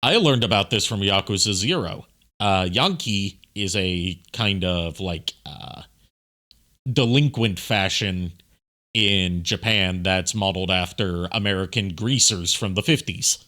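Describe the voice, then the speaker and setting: Low, bright-voiced man doing casual stream commentary. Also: a little slow. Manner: rambling, explanatory. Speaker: streamer, amateur voice actor. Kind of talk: casual commentary over a video.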